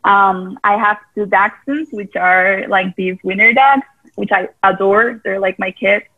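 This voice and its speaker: A woman talks over a video call, her voice thin and narrowed like a phone line. Her pitch sits in a middle range. She rambles in a friendly way, scattering "like" through her sentences. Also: fairly even intonation, unhurried. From Costa Rica. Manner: chatty, many "like" fillers, loose grammar. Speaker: guest, part-time yoga teacher. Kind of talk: casual interview chat.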